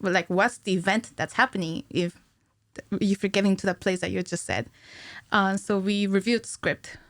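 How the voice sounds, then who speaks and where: Female podcast guest, thirties, medium pitch, informal and conversational, dry studio sound. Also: steady tone, normal speed, no echo. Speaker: podcast guest, cinematic animator. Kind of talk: casual interview chat. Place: small indoor podcast studio. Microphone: studio mic on a stand, headphones.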